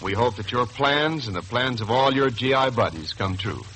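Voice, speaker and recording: A man's voice: mid-range voice, animated, moderate pace, fairly bright tone, a little hiss or hum, low-quality recording. An American man announcing in scripted 1940s radio style, emphatic, formal and polished, on an old broadcast. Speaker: radio announcer and sponsor spokesman.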